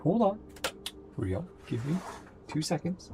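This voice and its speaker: A man's voice: fairly high pitch, big pitch swings, slow pace, creaky, heavy background noise. A man giving casual reaction commentary on a game story, in trailing, fragmented remarks. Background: game music.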